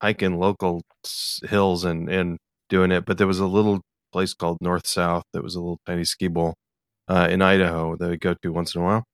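A man from the US Pacific Northwest with an American accent, hosting a casual podcast interview in a halting, rambling way. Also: deep voice, little pitch movement, clear, resonant tone, no room sound, clean studio sound. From US Pacific Northwest, eastern Washington. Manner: halting, rambling, hesitant delivery.

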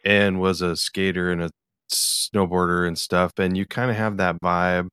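A man from the US Pacific Northwest with an American accent, hosting a casual podcast interview in a halting, rambling way. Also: sits low, level tone, a bit bright, smooth, no room sound, studio quality. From US Pacific Northwest, eastern Washington. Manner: halting, rambling, hesitant delivery.

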